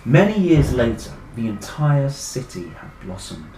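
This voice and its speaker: A British man reading a picture book aloud to children, enthusiastic and expressive, chatting directly to his young listeners. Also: mid-range voice, a little slow, music in the background. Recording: echoey room with faint background noise.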